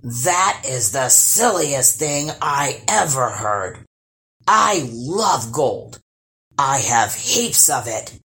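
A woman voicing a huge, boastful male troll with three heads, speaking in a slow, loud growl. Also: rumbling low, very animated, pitched below the performer's own voice, heavily acted. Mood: gruff boasting.

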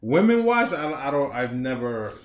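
Male podcast co-host, rambling casual talker, medium pitch, roomy recording. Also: sing-song, normal speed, a crowd in the background. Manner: rambling, lots of "like" fillers. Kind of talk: casual chat.

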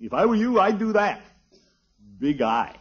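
An actor playing a pompous, easily flustered middle-aged uncle in a 1950s radio comedy, with a blustery, theatrical delivery. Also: male voice, sits high, sing-song, measured pace, slightly rough, exaggerated voice. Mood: indignant. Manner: blustery, theatrical, chuckling, exclamatory. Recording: dull, muffled old radio recording.

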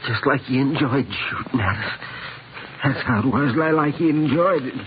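A man playing a wounded, bewildered express clerk in a 1950s radio western, American, speaking haltingly as he labours for breath. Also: mid-range voice, close to an everyday voice. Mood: pained, bewildered. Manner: halting, repetitive. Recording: muffled, faintly noisy 1950s radio recording.

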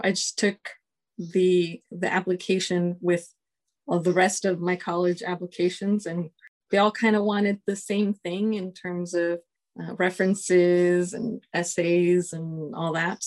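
A woman in her forties whose first language is Spanish, a colonel telling stories in a casual interview, relaxed and chuckling often. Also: on the low side, a little slow. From United States. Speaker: guest, Air Force colonel.

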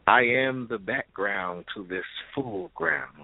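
A man introducing himself on a talk show in a playful, theatrical, drawn-out way. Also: fairly low pitch. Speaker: panelist. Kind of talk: casual, playful self-introduction. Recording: dry narrow phone-like sound.

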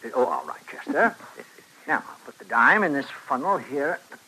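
A man's voice: mid-range voice, very animated, normal speed, bright, forceful tone, a little hiss or hum, poor audio. An actor playing a genteel, secretive professor and inventor in a radio western, American, with a courtly, wordy, theatrical showman's delivery. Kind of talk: 1950s radio western acting.

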